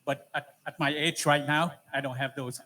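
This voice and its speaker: A man in his seventies from Vietnam with a Vietnamese accent, giving an informal, halting talk of advice with many pauses. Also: on the high side, fairly even intonation. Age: 70s. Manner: halting, frequent pauses and "you know". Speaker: alumni speaker, technology entrepreneur. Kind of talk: informal introduction and advice to an audience. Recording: noisy live event room.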